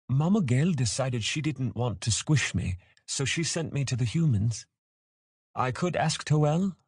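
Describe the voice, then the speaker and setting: A man's voice: mid-range voice, lively intonation, measured pace, darkish tone, breathy edge, dry recording, studio quality, voice pushed up. A man voicing a cheerful, naive young boy dragon hatchling in a fantasy audiobook, with a childlike, eager, chirpy manner. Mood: hesitant, innocent.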